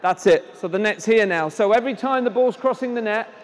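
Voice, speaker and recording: A man coaching children at tennis drills, giving brisk encouraging instructions and projecting his voice loudly. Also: sits high. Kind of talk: on-court coaching demonstration. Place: indoor tennis hall at a conference. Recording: echoey hall, voice from a distance.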